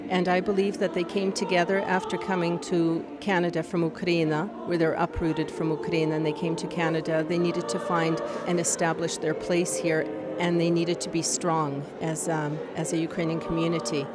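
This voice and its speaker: A woman's voice: on the low side, flat delivery, normal speed, few pauses, over loud music. A woman, a choir director, answering an interview question in an earnest, reflective way.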